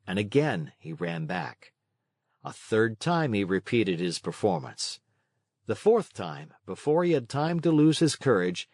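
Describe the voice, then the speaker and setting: A man's voice: fairly low pitch, no echo, clean studio sound. A man narrating a children's read-along storybook from a script.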